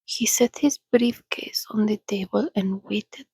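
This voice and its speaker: A woman reading a story aloud in a soothing, breathy, soft-spoken ASMR style, often slipping into a whisper, close to the microphone. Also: medium pitch, dry recording. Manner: soothing, unhurried. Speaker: reader.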